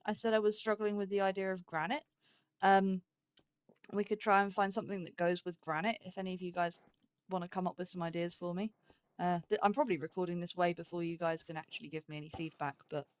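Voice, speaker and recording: A woman's voice: mid-range voice, no room sound. Chatty woman, very dry close sound. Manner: thinks aloud to herself. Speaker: host of a gaming let's play series. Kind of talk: casual chatty gameplay commentary.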